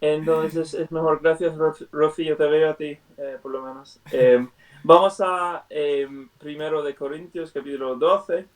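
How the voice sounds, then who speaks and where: Joven que habla español de españa, no es su lengua nativa, guía la oración por videollamada, suena lejos del micro. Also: male voice, a little high, fairly even intonation, measured pace. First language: not Spanish. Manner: hesitant, many fillers and restarts. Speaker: Bible study leader. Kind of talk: prayer and Bible study on a video call. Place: at home on a video call. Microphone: computer mic on a video call. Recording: video call audio from far off the mic.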